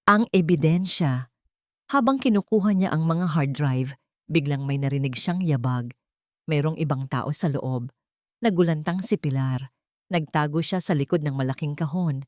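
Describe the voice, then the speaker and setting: Female narrator, low register, dry close sound, measured melodramatic delivery for serialized romance stories. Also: darkish tone, dry recording. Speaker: story narrator. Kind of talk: scripted dramatic romance story narration.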